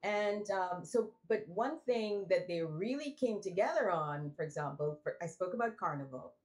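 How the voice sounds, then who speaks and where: A woman from Trinidad, a guest telling her life story in a relaxed, reflective way with many restarts. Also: lowish voice, normal speed. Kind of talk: casual interview conversation.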